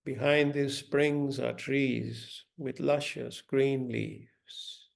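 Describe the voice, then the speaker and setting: A man leading a guided meditation in a gentle, deliberate manner, giving instructions with long pauses. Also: mid-range voice, fairly even intonation, vocal fry.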